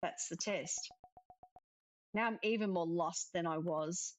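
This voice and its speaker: A woman's voice: fairly low pitch, slow pace, soft background music. A woman reading visual novel dialogue aloud expressively, with casual commentary of her own. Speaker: let's-player narrator.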